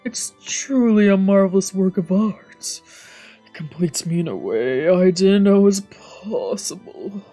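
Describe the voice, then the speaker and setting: Woman voicing a humble devout man, soft-edged and husky. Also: medium pitch, animated, a little slow, soft background music. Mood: humble, earnest. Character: humble, devout man praying reverently before a painting.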